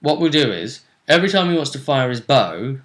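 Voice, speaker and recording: Bright-voiced man recapping a game. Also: medium pitch, big pitch swings, a little fast. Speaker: game master and show host. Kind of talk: casual tabletop game recap.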